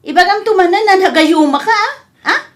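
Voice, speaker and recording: A woman playing an indignant, hurt but firm wife and mother in a radio comedy drama, sharp-tongued, with an Ilocano accent. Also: very high voice, animated, a little fast. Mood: firm, dismissive.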